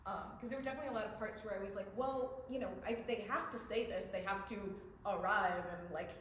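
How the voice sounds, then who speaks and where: A woman's voice: medium pitch, fast, fairly bright tone. Young woman in a very echoey room. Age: young adult. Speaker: student playwright. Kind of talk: casual post-show Q&A answers. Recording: very echoey room, faint background noise.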